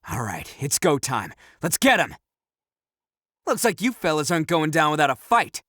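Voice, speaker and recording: A man's voice: on the high side, pushed and bright, heavily acted. An actor playing a brash, good-hearted young male oni gang leader in an anime video game, talking in a slangy, swaggering way. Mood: fired up.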